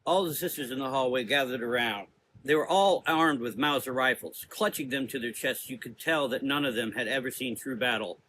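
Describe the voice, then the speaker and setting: A man's voice: medium pitch, a little fast, pushed and bright, slight background noise, poor audio. A man narrating a scripted gothic story in a dramatic style.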